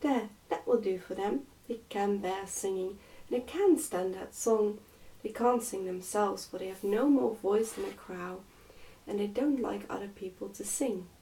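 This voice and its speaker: A woman's voice: medium pitch, a little slow, mellow, far from the mic, lightly acted, low-quality recording. A woman voicing a cheerful, fearless twelve-year-old miner boy in a storybook, with a confident, sing-song manner.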